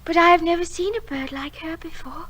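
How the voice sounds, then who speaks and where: Shy, very high-voiced young girl. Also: fairly quick, a bit bright. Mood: anxious, curious. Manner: hesitant, polite, addresses elder as sir. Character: shy fair-haired fisher-folk girl, timid, tender-hearted.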